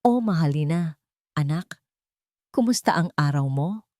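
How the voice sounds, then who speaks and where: Woman narrator acting older mother-in-law, Filipino accent, gentle, soft caring manner, worried, audio story, dry sound. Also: low-pitched, lively intonation, a little slow, no room sound. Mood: warm.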